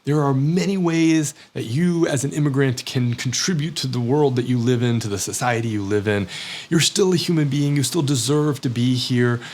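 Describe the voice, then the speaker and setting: A man with an American accent, talking in a hesitant, reflective way with many pauses and self-corrections. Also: mid-range voice, darkish tone. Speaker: academic vlogger. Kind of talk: casual reflective monologue.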